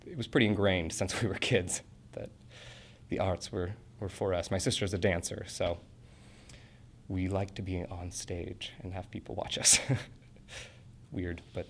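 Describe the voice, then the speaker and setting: A man's voice: sits low, fairly even intonation, measured pace, a little hiss or hum. A young man in his twenties from Portland, talking earnestly about acting school in an interview, rambling with fillers and restarts. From Portland, Oregon. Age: 20s. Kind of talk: casual interview answers.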